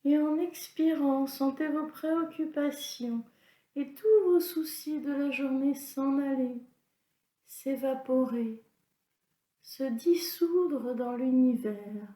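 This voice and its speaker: A woman leading a guided yoga nidra relaxation as an instructor, in a calm, soothing, instructional manner. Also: high-pitched, takes it slowly. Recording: roomy, echoing sound.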